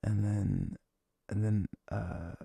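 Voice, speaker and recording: A young man talking in a casual, unscripted, intimate ASMR style, rambling and halting with a lot of "like", his gravelly voice often sinking toward a whisper. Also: steady tone, no room sound. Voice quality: low, gravelly, breathy, often dropping near a whisper. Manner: rambling, halting, frequent "like", soft chuckles. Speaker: ASMR audio creator playing a comforting boyfriend.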